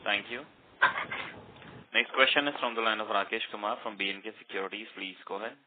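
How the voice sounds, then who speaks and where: A man's voice: lowish voice, fairly even intonation, quick pace, no room sound. A man working as a conference call operator, giving formulaic announcements. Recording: faintly noisy phone line.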